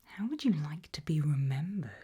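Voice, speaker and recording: A British woman whispering an ASMR roleplay in a breathy voice, putting slow, deliberate questions with long pauses. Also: deep voice, big pitch swings, dark tone.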